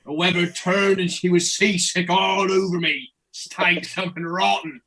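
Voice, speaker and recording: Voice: mid-range voice, fairly even intonation, measured pace, a bit bright, rough recording. An actor playing a wry, joking village fishmonger in a comedy radio play, bantering with customers.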